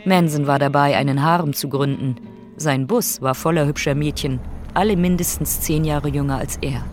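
A woman narrates in a scripted documentary style. Guitar music runs clearly behind her voice as she speaks. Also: sits low, normal speed, darkish tone.

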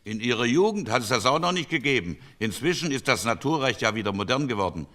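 Wry, bright-voiced male parliamentary delegate. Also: mid-range voice, expressive, quick pace. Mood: wry, sceptical. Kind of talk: German radio docudrama acting.

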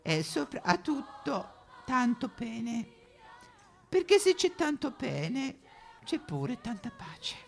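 A man's voice: very high-pitched, very animated, slow pace, gravelly, soft background music, pitched above the performer's own voice, exaggerated voice. A man playing an elderly retired German pope in a satirical stage monologue, with a German accent, mock-solemn sing-song preaching and petulant complaints. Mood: mock-pious, plaintive. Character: elderly retired German pope, whiny, pious but foul-mouthed.

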